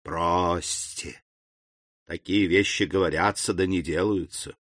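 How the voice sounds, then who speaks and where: A man plays a calculating storybook villain. He talks deliberately, slipping between scorn and false friendliness, mocking and insinuating, in a dry, close sound. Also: low voice, fairly even intonation, fairly bright tone, dry recording, pitched below the performer's own voice, heavily acted. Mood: scornful.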